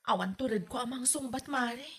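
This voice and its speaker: Woman acting as a fed up young wife, high bright voice, plaintive and resigned, comedy drama. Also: brisk, slightly rough. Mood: resigned complaining. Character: aggrieved young wife fed up with her mother-in-law.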